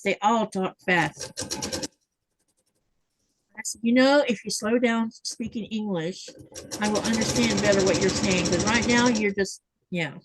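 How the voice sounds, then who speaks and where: A woman's voice: mid-range voice, background noise. A middle-aged woman hosting a sewing livestream, chatting casually and telling rambling stories. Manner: chatty, rambling storyteller, reads viewer comments. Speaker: livestream host.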